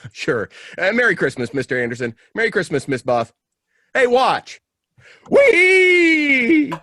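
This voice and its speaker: A man's voice: extremely high pitch, very animated, takes it slowly, bright, forceful tone, no echo, full character voice. A man voicing a cheerful, offhand neighbourhood boy in a radio play, with a breezy, casual manner. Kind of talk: radio drama acting.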